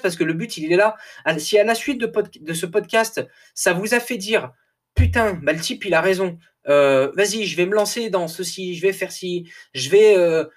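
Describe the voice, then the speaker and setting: A man hosting a podcast in a casual motivational monologue, animated, laughing often, repeating and restarting words, a little tired. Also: high-pitched. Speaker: podcast host.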